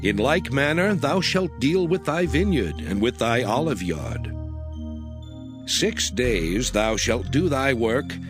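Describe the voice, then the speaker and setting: A man's voice: medium pitch, sing-song, slow. A man voicing God as a solemn, commanding lawgiver in a dramatized scripture reading, with declamatory, archaic diction. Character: God, solemn commanding male deity laying down law. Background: background music.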